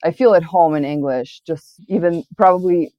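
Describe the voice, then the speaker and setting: A woman's voice: on the low side, moderate pace, no room sound, muffled recording. Woman, from Bulgaria, Bulgarian-born translator, reflective, restarts often, dry sound. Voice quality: reflective, thoughtful, with frequent restarts. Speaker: interview guest, literary translator. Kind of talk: conversational interview.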